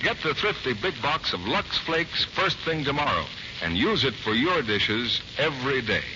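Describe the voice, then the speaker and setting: Lively male announcer on noisy vintage radio. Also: mid-range voice, normal speed. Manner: polished, persuasive sales delivery. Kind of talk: 1940s radio advertising and announcing. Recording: muffled, noisy 1940s radio broadcast.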